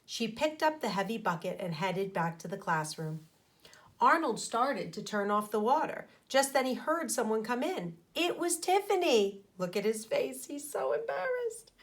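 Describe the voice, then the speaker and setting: Expressive woman reading a storybook, high and animated. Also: normal speed. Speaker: teacher reading aloud to a class. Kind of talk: animated storybook read-aloud with asides to children.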